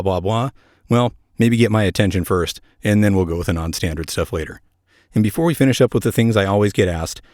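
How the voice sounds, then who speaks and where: A man's voice: fairly low pitch, a little mellow, no room sound, studio quality. A man hosting a podcast, delivering scripted narration in a conversational way with wry asides. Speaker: podcast host; air traffic controller.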